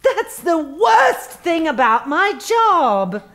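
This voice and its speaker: An actress playing a relentlessly upbeat, sanctimonious human resources manager in a stage comedy, gushing with earnest, drawn-out emphasis. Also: female voice, very high-pitched, sing-song, fairly bright tone, heavily acted. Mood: bright, eager. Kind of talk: comedic stage play acting. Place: theatre stage before a live audience.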